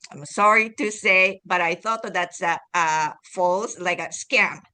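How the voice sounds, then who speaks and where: Woman, Japanese accent, bright tone, halting speech, many restarts, interview guest. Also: lowish voice, clean tone. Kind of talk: casual interview talk.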